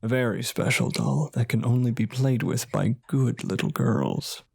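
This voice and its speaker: An actor playing an ominous old doll shop owner in a horror radio drama, husky and pointed, with veiled menace. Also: male voice, medium pitch, darkish tone, no room sound. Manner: slow, pointed, veiled threats.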